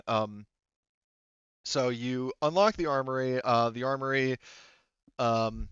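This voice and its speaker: Smooth-voiced man running a game. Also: medium pitch, slow pace, a bit bright, no room sound, lo-fi sound. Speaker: game master. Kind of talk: casual game mastering of a tabletop RPG.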